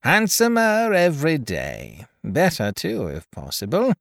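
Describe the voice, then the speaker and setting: A man doing a sly flattering merchant. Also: fairly high pitch, unhurried, gravelly, no echo, clean studio sound, pitched above the performer's own voice, full character voice.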